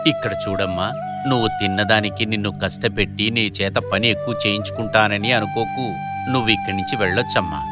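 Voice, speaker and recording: A man voicing a kind elderly eatery owner in an animated moral story, speaking in a gentle, plain, fatherly manner. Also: lowish voice, fast, over loud music, close to an everyday voice. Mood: kind, concerned.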